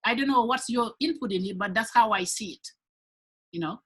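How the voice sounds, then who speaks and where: Earnest husky-voiced woman from Senegal. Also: on the high side, a little fast, a bit bright. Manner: earnest, repeats words when building a point. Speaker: teacher. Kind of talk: panel discussion.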